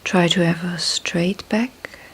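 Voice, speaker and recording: A woman leading a guided meditation in a soft, breathy near-whisper, calm and unhurried, with long pauses between short phrases. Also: lowish voice, slight background noise. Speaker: meditation teacher. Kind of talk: slow guided meditation instruction.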